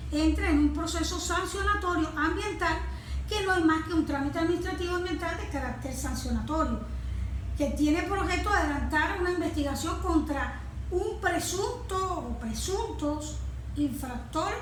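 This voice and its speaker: A Venezuelan woman giving explanatory interview answers as an expert guest, in a halting, hesitant way. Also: sits high. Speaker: guest, environmental consultant. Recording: very echoey room, faint noise.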